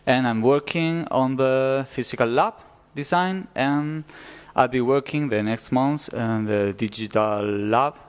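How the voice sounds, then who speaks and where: Young man, smooth reverberant voice. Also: medium pitch, measured pace. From Spain. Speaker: designer on the team. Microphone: handheld microphone.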